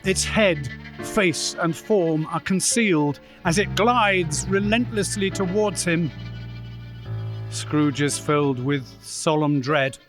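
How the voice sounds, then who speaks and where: Unhurried, solemn storyteller played by a man. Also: fairly high pitch, music in the background. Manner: measured, solemn. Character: solemn storyteller narrating a ghost tale. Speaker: narrator. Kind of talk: dramatic scripted narration.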